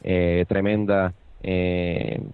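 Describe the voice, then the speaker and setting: A man's voice: deep voice, level tone, extremely slow, clear, resonant tone, no room sound. Hesitant Puerto Rican man on a dull line. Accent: Puerto Rican Spanish. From Puerto Rico. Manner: hesitant, many fillers and restarts. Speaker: podcast co-host. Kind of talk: casual chat. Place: Buenos Aires, Argentina.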